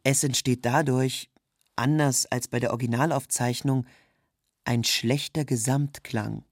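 A man's voice: medium pitch, fairly even intonation, a little slow, no room sound, studio quality. A man reads scripted literary narration in with a sober, documentary delivery. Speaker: narrator, reader of a literary text.

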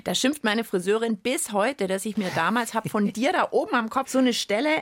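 Female children's podcast co-host: lively, playful banter, expressive pitch, dry recording. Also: brisk, dry recording. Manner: playful, animated.

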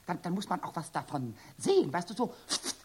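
Mischievous little kobold for a children's radio play: very high, swooping, squeaky, stammering with excitement. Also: male voice, a little fast, slight background noise. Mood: boastful, mischievous. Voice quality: squeaky, cackling. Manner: excitable, stammering repetitions, singsong rhymes. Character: small, cheeky, rhyming kobold boy, giggly and mischievous.

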